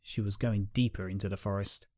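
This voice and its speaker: A man's voice: lowish voice, steady tone, a little fast. A man narrating a dramatic scripted story as its reader.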